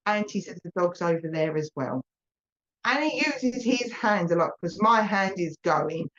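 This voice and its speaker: A woman's voice: fairly low pitch, lively intonation, measured pace, a bit bright, muffled recording. A woman with an Essex accent giving a live mediumship reading to a viewer, chatty and reassuring as she passes on messages.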